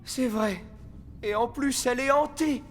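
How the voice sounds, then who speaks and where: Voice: high voice, a little slow, slight background noise. An actor voicing a jittery, dramatic man trapped in a cartoon haunted house. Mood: resigned, explaining. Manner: dramatic, alarmed. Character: jittery trapped man, great-nephew of the house's late owner. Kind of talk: French animated series dubbing.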